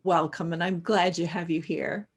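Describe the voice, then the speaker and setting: A woman hosting an interview, asking polite, measured questions. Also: fairly low pitch, steady tone, husky. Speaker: host.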